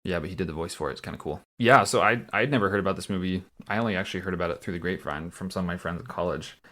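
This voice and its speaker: A man's voice: low-pitched, racing, sharp tone. A young man co-hosting a movie podcast in casual chat, rambling with fillers and easy laughs. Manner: chatty, lots of fillers and laughs. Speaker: podcast co-host.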